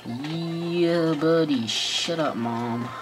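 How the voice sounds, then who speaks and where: A man's voice: fairly high pitch, extremely slow, noisy background. Joking American guy narrating gameplay. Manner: joking, rambling, sarcastic asides. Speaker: let's play gamer. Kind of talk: goofy let's play commentary. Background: faint game music.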